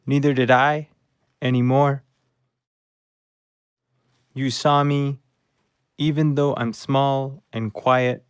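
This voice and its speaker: A man's voice: medium pitch, little pitch movement, slow pace, pauses often, smooth, no room sound, studio quality, lightly acted. An actor playing a small, earnest, devoted rescue dog who tells his story wistfully in an audio play.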